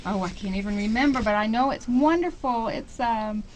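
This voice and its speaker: An American woman speaking as a radio interview guest, explaining things informally and a little haltingly as she promotes an event. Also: fairly high pitch, a little hiss or hum, rough recording. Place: radio station.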